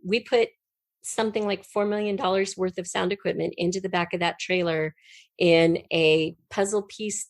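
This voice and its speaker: A woman's voice: mid-range voice, dry recording. A woman talking conversationally as an interview guest, telling stories in a rambling, colloquial way. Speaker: interview guest, audio drama writer.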